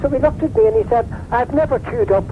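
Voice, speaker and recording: A man's voice: extremely high pitch, fast, noisy background. Donegal Irish man on a tinny phone line. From Ireland. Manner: storytelling, acts out quoted voices. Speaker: radio caller. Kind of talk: phone-in anecdote.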